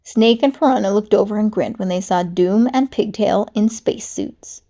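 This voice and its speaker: A woman reading a children's storybook aloud, with animated, dramatic narration. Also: mid-range voice, normal speed. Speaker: storybook reader.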